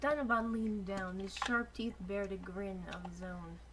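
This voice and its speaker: A woman's voice: medium pitch, steady tone, a little slow. A young woman narrating a story as an amateur dramatic reading, with joking asides. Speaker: narrator of a fanfiction. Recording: noticeable background noise.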